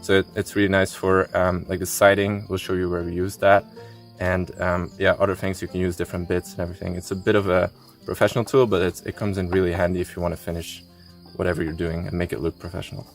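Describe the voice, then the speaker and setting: A man from Belgium, giving a relaxed walkthrough tour of a build site and pausing often with fillers. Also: sits low, vocal fry, faint music behind. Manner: relaxed, explanatory, many filler pauses. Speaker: tiny house builder.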